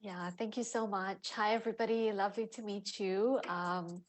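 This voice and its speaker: A woman's voice: mid-range voice, steady tone, moderate pace. A woman in her twenties, a social entrepreneur introducing herself on a panel in a friendly, upbeat way. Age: 20s.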